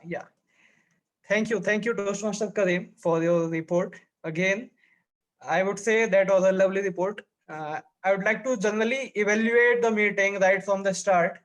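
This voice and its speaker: A man's voice: sits high, low-quality recording. A man leading an online club meeting on a video call, speaking politely with formal phrasing. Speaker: meeting toastmaster and general evaluator.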